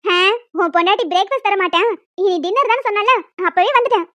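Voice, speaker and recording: A woman's voice: extremely high pitch, quick pace, sharp tone. A woman voicing a nagging, disapproving older mother-in-law who complains and scolds in a cartoon family drama. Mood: indignant.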